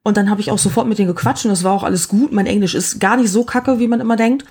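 A woman's voice: medium pitch, racing, darkish tone, husky. A woman in her forties chatting casually, frank and blunt, with easy laughter and slangy talk. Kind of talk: casual chat.

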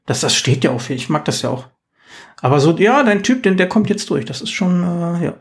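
A man's voice: sits high, expressive, fairly quick. A man in his forties hosting a casual chat, teasing and chatty as he asks questions. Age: 40s.

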